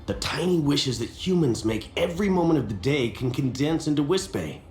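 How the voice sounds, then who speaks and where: An actor playing a gentle, helpful local in an anime game, explaining things reassuringly. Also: male voice, a little high, expressive, moderate pace, lightly acted. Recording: roomy game audio with light music.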